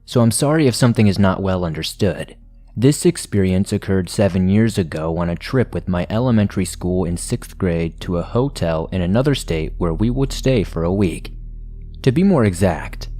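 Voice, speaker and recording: A low-pitched man reads scary stories aloud in a steady, measured way. Faint music runs quietly under his voice. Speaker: horror story narrator. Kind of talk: scripted narration of submitted stories.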